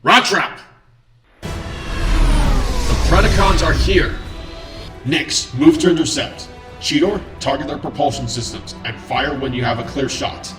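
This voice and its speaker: A man's voice: a little high, measured pace, long pauses, close to an everyday voice. Man voicing firm robot captain, echoey. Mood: urgent. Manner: firm, commanding. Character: gruff, decisive robot ship captain. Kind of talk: comic dub acting. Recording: echoey room, music underneath.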